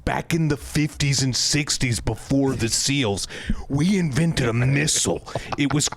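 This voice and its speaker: A man doing a comic impression of an older, gruff ex-special-forces tough guy who tells boastful tales deadpan. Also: mid-range voice, unhurried. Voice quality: gravelly, husky. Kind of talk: comic impression on a talk show.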